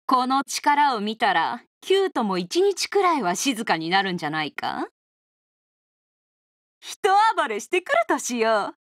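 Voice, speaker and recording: A woman voicing a bold, hard-drinking female oni brawler with cocky, easygoing, rough tomboyish speech for a video game. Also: on the high side, very animated, measured pace, a bit bright, no room sound, studio quality, full character voice. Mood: pleased, confident, then cocky, musing. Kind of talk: video game character voice lines.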